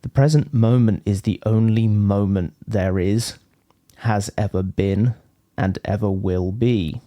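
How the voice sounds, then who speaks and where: A man leading a guided meditation as a teacher, speaking in measured, deliberate phrases with frequent pauses. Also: on the low side, warm tone, no room sound, muffled recording.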